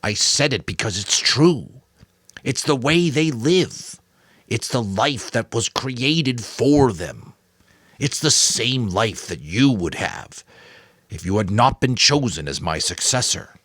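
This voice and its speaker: A man's voice: medium pitch, animated, a bit bright, no room sound, clean studio sound, voice pushed up. A man voicing a grave, weary old mentor, firm but kind, in a dramatic audiobook. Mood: solemn insistence. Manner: firm, solemn, deliberate. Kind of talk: dramatic audiobook character reading.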